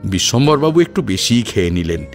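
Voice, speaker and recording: A man's voice: lowish voice, sing-song, brisk, faint music behind. A man narrating a scripted family drama as an audio story.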